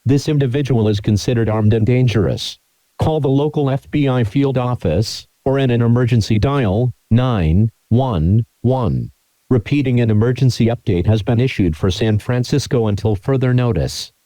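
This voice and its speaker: Dark, dry male emergency alert announcer. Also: medium pitch, moderate pace, dry recording. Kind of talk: scripted formal emergency broadcast announcement.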